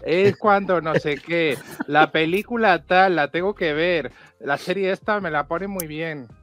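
A man's voice: a little high, unhurried, a bit bright. A man with a Spain Spanish accent chatting casually as a podcast co-host in a teasing, sardonic way. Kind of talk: casual chat.

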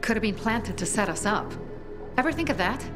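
A woman's voice: medium pitch, lively intonation, brisk, lightly acted. An actress playing a tough young female faction leader in a video game, firm, urgent, defiant and protective. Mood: defensive. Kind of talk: dramatic video game acting. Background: game music.

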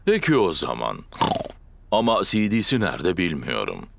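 Bumbling cartoon dad pig: very deep, gravelly, swooping wildly, hesitant hums. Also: male voice, wildly swooping pitch, unhurried, full character voice. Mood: proud. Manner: hesitant, humming and hawing. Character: bumbling good-natured father pig. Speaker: Daddy Pig voice in a cartoon dub. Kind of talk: cartoon dubbing.

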